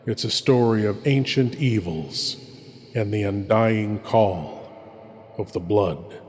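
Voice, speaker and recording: Man playing a courtly sinister radio horror host, very slow, hushed, dramatic pauses, long reverb, music behind. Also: fairly low pitch. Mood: hushed, eerie relish. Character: sinister, courtly male horror host welcoming listeners into darkness. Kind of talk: theatrical old-time radio horror hosting.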